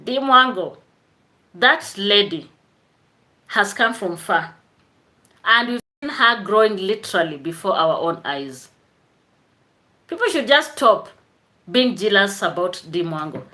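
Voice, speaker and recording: A woman's voice: medium pitch, bright, forceful tone. A woman chatting casually on a live stream, greeting viewers by name and laughing often. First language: Swahili.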